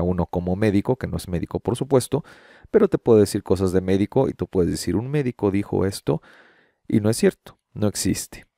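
A man's voice: lowish voice, creaky, warm tone, no room sound. A middle-aged man hosting a video, explaining things casually and speaking directly to the viewer into a close studio microphone. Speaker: YouTube host. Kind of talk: explanatory screen-share commentary, casual. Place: small home room with shelves and framed pictures. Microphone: close studio condenser microphone on boom arm.

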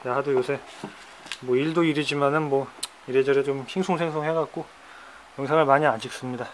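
A middle-aged man with a hoarse, cracking voice, talking casually in a how-to vlog about his pets, recorded on a handheld phone indoors. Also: mid-range voice, steady tone, fairly quick, slight background noise. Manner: chatty, teasing, drops into banter. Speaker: pet keeper vlogger. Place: indoors among pet enclosures.

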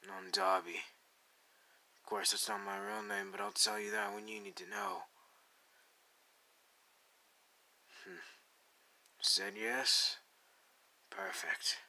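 A gravelly young male anime villain, acted by a man for an ASMR roleplay. He drawls slowly with a sharp, forceful edge. His manner is cool, deadpan and teasing, with menace underneath. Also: lowish voice, full character voice, rough recording. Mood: cool, inviting. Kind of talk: ASMR roleplay addressed to the listener.

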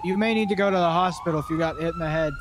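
A man's voice: sits high, very fast. A man improvising in an online game roleplay, questioning as a police officer. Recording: noisy game ambience and sirens.

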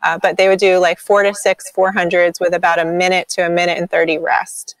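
A woman's voice: lowish voice, flat delivery, fairly quick, no echo. A woman in her forties, a podcast guest and sprint coach, talking in an enthusiastic casual way with frequent ums and likes over a video call. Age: 40s. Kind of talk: casual interview chat.

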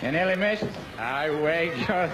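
A man's voice: on the high side, animated, measured pace. A man with a Yorkshire accent giving jokey, cheeky live commentary on an outdoor game show. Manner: bantering, cheeky, chuckling. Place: outdoor park event. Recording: outdoor event, crowd and background noise.

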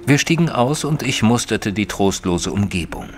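A man playing a reserved Victorian gentleman, a detective's companion, narrating an audio drama in a measured, formal way. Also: on the low side, lively intonation, over music, close to an everyday voice. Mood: measured, descriptive.

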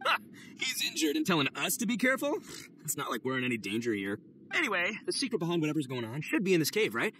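A brash, boastful oni boss. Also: male voice, fairly high pitch, wildly swooping pitch, fast, a little hiss or hum, heavily acted. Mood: boisterous. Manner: loud, boastful, goofy, shouting. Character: boisterous brash young oni gang boss, male. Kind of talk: video game cutscene voice acting.

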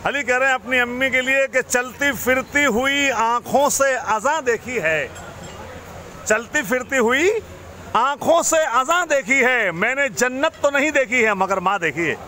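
High-pitched man declaiming verse amid noise. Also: expressive, measured pace, bright, forceful tone. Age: middle-aged. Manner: florid, declamatory, courtly. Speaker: costumed restaurant entertainer reciting poetry. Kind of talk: theatrical Urdu couplet recitation to guests. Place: busy outdoor restaurant courtyard at night. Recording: noisy open-air restaurant, phone recording.

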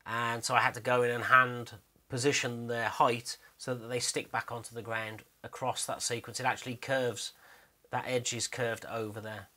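A man's voice: mid-range voice, level tone, normal speed, pushed and bright. A man narrating a screen-recorded walkthrough as an animator, casual, rambling and a little self-deprecating in how he explains things.

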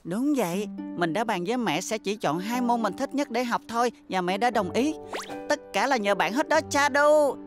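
A woman's voice: on the high side, animated, moderate pace, music in the background, close to an everyday voice. A woman voicing a sensitive, earnest young schoolboy in a cartoon dub, with a childlike delivery. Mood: happy. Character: sensitive young schoolboy, weary of extra classes.

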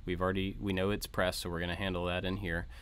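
A man's voice: sits low, level tone, quick pace, fairly bright tone, clear, resonant tone. A man narrating an informal code walkthrough as a tutorial host, explaining things step by step. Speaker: host of a maker tutorial channel. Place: voice-over for a screen recording.

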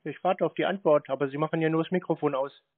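A man's voice: fairly high pitch, fairly even intonation, quick pace, slight background noise. A man in a dry phone conversation, answering a caller in a sardonic, challenging and evasive way. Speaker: prankster answering scam calls. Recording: narrow tinny phone line.

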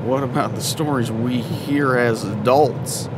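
An actor playing a drunk, superstitious man who is easily spooked and defensive, talking in a halting, tipsy way. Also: medium pitch, natural voice. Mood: nervous, defensive. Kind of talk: audio drama dialogue. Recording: car noise behind the voice.

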